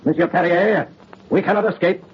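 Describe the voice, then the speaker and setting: Dignified aristocrat father: very husky, solemn, formal, radio melodrama through dull vintage sound. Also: high voice, moderate pace. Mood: solemn, resolute. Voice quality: husky, rough. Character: proud, dignified French count, stern devoted father. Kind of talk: old-time radio melodrama acting. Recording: muffled vintage radio recording.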